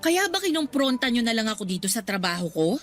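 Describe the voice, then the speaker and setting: An uneasy, high-voiced Filipina goddaughter. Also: female voice, big pitch swings, fast talker, a bit bright, faint music behind. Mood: defensive, evasive. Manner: respectful, pleading, defensive. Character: polite, uneasy working goddaughter, evasive and weary. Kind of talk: radio drama acting.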